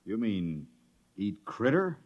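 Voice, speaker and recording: A man's voice: on the low side, sing-song, takes it slowly, full character voice. An actor playing an anxious, downbeat male crew scientist in 1950s radio drama style. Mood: dismayed. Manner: gloomy and worried. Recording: muffled 1950s radio broadcast.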